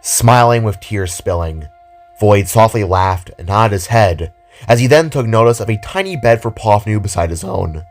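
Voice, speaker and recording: A man's voice: fairly low pitch, expressive, normal speed. A man giving scripted narration as the storyteller of an audio drama. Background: background music.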